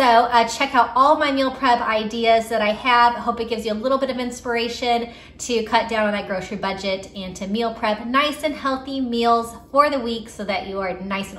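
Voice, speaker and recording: A woman's voice: fairly high pitch, fairly bright tone. A woman in her thirties chatting casually in her home kitchen as a cooking vlogger, upbeat and full of ums.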